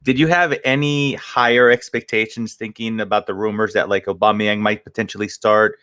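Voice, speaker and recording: A man's voice: lowish voice, fairly even intonation, moderate pace, bright, forceful tone, smooth, dry recording, studio quality. A man co-hosting a sports podcast, asking long, casually worded questions that he rephrases as he goes. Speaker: podcast co-host. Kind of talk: casual interview chat.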